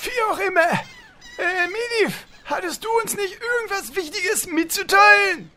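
An actor playing a pompous, bossy guild leader with a squeaky voice in a comedy audio drama. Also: bright, forceful tone. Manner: bossy, deliberate.

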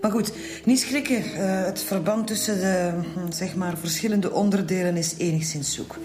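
Low-voiced, dry Flemish pathologist played by a woman. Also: normal speed, darkish tone, a little hiss or hum, lightly acted. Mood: dryly matter-of-fact. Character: dry, self-assured female forensic pathologist. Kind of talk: radio drama acting.

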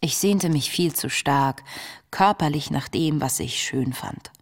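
An actress playing an introspective young woman who reads her diary in a radio play, earnest and confessional, with a breathy voice. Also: deep voice. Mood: reflective, intimate. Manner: reflective, measured, earnest, confessional. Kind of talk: literary radio play monologue, intimate diary narration.

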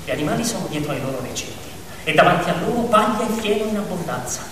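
A man narrating a fable as a theatrical dramatic monologue, with emphatic build-ups and pauses. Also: high voice, sing-song, noisy background. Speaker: actor and storyteller. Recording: echoey, distant reverberant hall with music.

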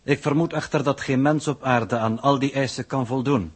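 Casting: man playing an authoritative male coordinator for vintage sci-fi radio drama, mid-pitched, slightly husky, formal and exact. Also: natural voice. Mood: formal, composed. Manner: formal, measured, pedantic wording. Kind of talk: 1960s Dutch radio drama acting.

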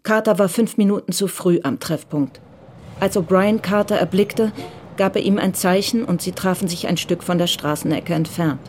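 A woman's voice: lowish voice, moderate pace, noisy background, close to an everyday voice. A woman giving scripted literary narration in a radio crime drama. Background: music.

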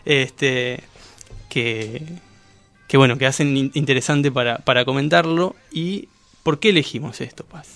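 An Argentine man in a casual radio discussion, explaining things in a halting way, correcting himself and often checking that he is followed. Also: mid-range voice, creaky, a bit bright, no room sound, faint music behind. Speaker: guest discussing comics and manga.

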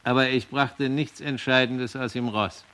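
A man playing a reflective investigator, reporting in a measured, formal way in a radio drama. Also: medium pitch, steady tone, pushed and bright. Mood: pensive, factual.

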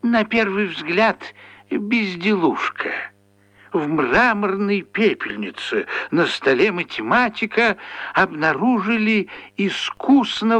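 A man's voice: sits high, pushed and bright, no echo, heavily acted. A male actor playing a gloomy, mysterious TV storyteller in a children's radio play, telling his tale solemnly. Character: gloomy bearded television storyteller, mournful and mysterious.

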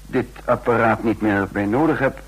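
A man's voice: fairly low pitch, moderate pace, husky, rough recording. An actor playing a defiant trained fighter who recounts his own escape in a radio drama, with a sardonic edge. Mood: tense recounting. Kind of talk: radio drama, first-person narration and dialogue.

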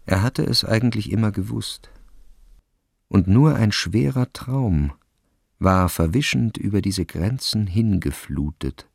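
Low, gravelly male radio play narrator. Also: unhurried, no room sound, studio quality. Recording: music behind the voice. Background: string music.

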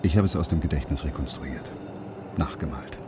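A grave, secretive surgeon and anxious father in a radio crime play. His voice is extremely deep and he talks in terse, halting sentences. Vehicle noise is clearly heard around him. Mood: tense, factual. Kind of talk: German radio crime drama acting.

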